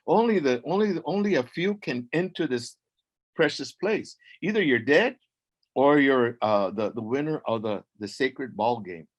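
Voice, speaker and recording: A man's voice: medium pitch, animated, a little slow, highs cut off. A man voicing a bossy, suspicious male gatekeeper at the doors of the underworld. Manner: brusque, suspicious.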